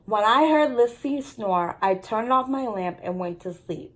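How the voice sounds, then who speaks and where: A woman with a fairly high voice reads a children's book aloud as a teacher would. She explains things to her students in an instructive read-aloud style. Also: expressive, measured pace, a bit bright, poor audio. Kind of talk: read-aloud storytelling with lesson commentary.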